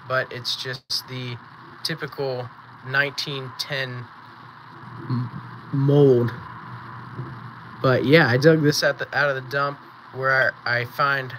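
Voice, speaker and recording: A man hosting a live-streamed auction, chatting casually and counting down bids, with a voice going raspy. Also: mid-range voice, sharp tone, slight background noise, poor audio. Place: small indoor photo booth setup.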